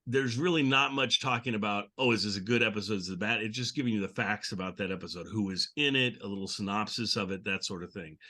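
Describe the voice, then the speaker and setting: A man's voice: lowish voice, fast, hardly any pauses, sharp tone. A man hosting a podcast, chatting casually and explaining things in an informal way. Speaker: podcast host. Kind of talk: casual chat introducing a show episode.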